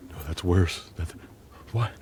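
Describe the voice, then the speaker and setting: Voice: low voice, slow pace, a little mellow, a little hiss or hum. An actor voicing an anxious, panicky piece of bubble gum in a comedic audio drama, stammering and repeating words. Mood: panicked.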